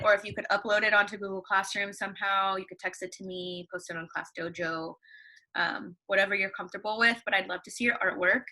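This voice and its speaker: Brief: young woman teacher, bright ringing voice, upbeat, quizzes her class and answers herself, computer mic at home. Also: mid-range voice, poor audio. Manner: upbeat, asks questions and answers them herself. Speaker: elementary school teacher. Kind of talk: read-along lesson for young students at home. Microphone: computer microphone.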